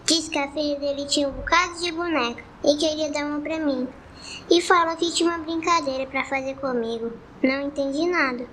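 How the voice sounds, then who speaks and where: A woman's voice: high voice, steady tone, brisk, a bit bright, a little hiss or hum. A woman playing an innocent, blunt little girl in a radio drama, with a northeastern Brazilian accent and simple childlike manner. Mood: innocent, puzzled.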